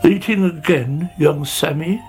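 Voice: mid-range voice, measured pace, darkish tone, soft background music, close to an everyday voice. A narrator voicing a weary, disapproving older male snail who sighs, mutters and scolds. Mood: weary disapproval.

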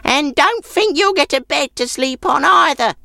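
A woman's voice: high voice, expressive, a little slow, vocal fry, bright, forceful tone, dry recording, heavily acted. A woman voicing a sour, grudging, sceptical old peasant woman in a folk tale, with a scornful, snappish manner.

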